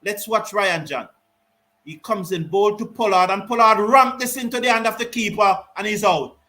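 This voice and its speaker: A man giving live ball-by-ball cricket commentary and reading out viewer comments, excitable on big hits. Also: sits high, moderate pace. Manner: lively, rises excitedly on big shots, Caribbean English phrasing. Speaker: cricket watch-along commentator.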